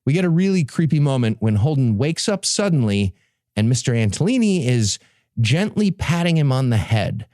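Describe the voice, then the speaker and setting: A man's voice: mid-range voice, sing-song, normal speed, darkish tone, no echo, clean studio sound. A man hosting a comedy podcast, giving a casual, sarcastic and irreverent book recap. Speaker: podcast host presenting a book summary.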